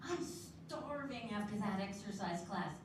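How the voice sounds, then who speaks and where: A woman's voice: mid-range voice, animated, normal speed, close to an everyday voice. An older woman reading the part of a blunt, humorous old woman who loves her food, in a readers theatre play. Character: blunt, hungry older woman friend, fond of sweets. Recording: echoey hall, distant mic, background noise.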